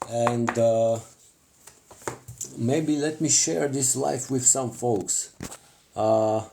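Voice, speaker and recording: A man with a Bulgarian accent hosts a casual live crafting demonstration, talking haltingly with many fillers and restarts. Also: mid-range voice, vocal fry, warm tone, a little hiss or hum. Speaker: craft livestream host.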